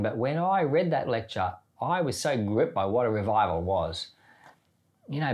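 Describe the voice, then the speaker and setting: A man's voice: mid-range voice, darkish tone. A man speaking as a pastor on a Bible podcast, explaining scripture in an earnest, informal, conversational way. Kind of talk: conversational Bible teaching with commentary.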